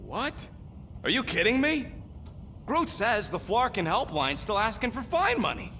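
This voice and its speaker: A man's voice: high voice, sing-song, unhurried, big-room sound, noisy background. A man voicing a cranky, sarcastic little raccoon mercenary mechanic in a video game, griping abrasively. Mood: alarmed. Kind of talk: video game cutscene acting.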